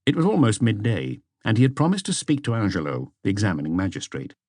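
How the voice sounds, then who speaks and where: A man narrates a mystery audiobook in a low voice. The recording is close and dry, with the delivery of scripted prose storytelling. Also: sing-song, fast talker, darkish tone, dry recording. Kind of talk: scripted detective novel narration.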